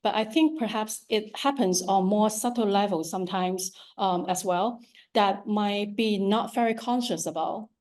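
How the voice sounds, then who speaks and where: A woman with a strong Cantonese accent, an artist giving a lecture about her work, often pausing with ums and restarting her sentences. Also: medium pitch, darkish tone. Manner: hesitant, many ums and restarts. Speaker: visual artist giving a talk. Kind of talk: lecture on her art practice.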